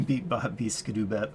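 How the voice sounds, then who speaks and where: A man's voice: medium pitch, slight background noise. A man in his late thirties chatting casually on a live stream as he works at the computer, thinking aloud to himself, answering viewers and chuckling often. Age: 30s. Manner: muttering to himself while working, chatting with viewers. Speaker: streamer coding on a livestream. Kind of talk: casual live-stream chat while working.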